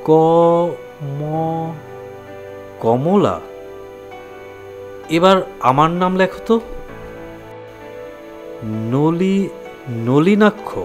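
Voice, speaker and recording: A man playing a gentle, educated young husband, a lawyer who speaks patiently and probingly in an audio drama. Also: fairly high pitch, lively intonation, extremely slow, pauses often, faint music behind. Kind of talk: Bengali audio drama acting.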